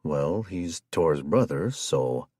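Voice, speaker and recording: A man voicing a calm, gruff male fighter and leader who speaks curtly and deadpan. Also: rumbling low, takes it slowly, dry recording, studio quality, exaggerated voice. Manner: flat, deadpan and curt.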